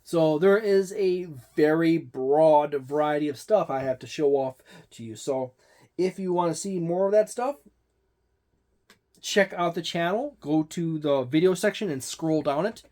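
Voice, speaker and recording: A man's voice: on the high side, measured pace, a little mellow. A man hosting a tabletop game channel, giving a casual product review in a rambling way with many fillers and restarts.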